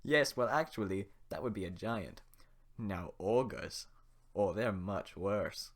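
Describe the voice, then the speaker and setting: A man voicing a big gruff male ogre, drawing out his threats with smug menace. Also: on the low side, expressive. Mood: menacing. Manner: mock-menacing, drawn-out threats.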